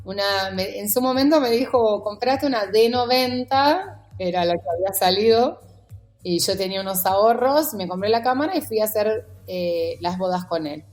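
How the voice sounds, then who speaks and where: A middle-aged woman from Rio de Janeiro with a Buenos Aires accent, telling personal stories as an animated podcast guest. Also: mid-range voice, normal speed, faint music behind. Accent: Buenos Aires porteño Spanish. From Rio de Janeiro, Brazil. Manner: animated storyteller, acts out quoted conversations. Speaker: podcast guest, wedding photographer. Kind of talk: casual interview storytelling.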